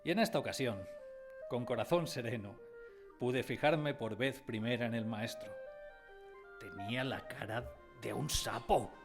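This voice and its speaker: A man playing a sensitive grown man who recalls his timid boyhood, in expressive, dramatised first-person storytelling. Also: mid-range voice, a little slow, long pauses, close to an everyday voice. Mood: tender, calm. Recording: prominent music behind the voice.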